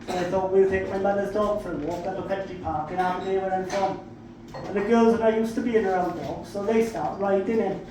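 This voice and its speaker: A man with a South Welsh accent works a comedy club stage in a high-pitched voice, spinning sweary, self-mocking stories and hitting each punchline hard. The sound comes from a distance and rings through a very echoey room. Steady room noise sits underneath. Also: fairly quick. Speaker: stand-up comedian. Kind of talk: stand-up comedy storytelling.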